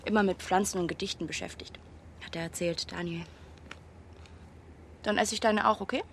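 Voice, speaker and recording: A woman's voice: fairly low pitch, steady tone, moderate pace, fairly bright tone, slight background noise. An actress playing a young, grieving and tense woman in a naturalistic radio drama, talking informally in clipped phrases. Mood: reflective. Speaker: actor in a radio play.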